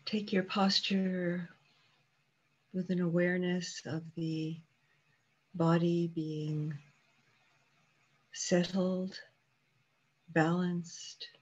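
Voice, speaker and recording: Slow, low-pitched woman guiding meditation. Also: breathy edge, muffled recording. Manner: calm, unhurried, with long pauses between short phrases. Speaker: meditation teacher. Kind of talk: guided Buddhist meditation.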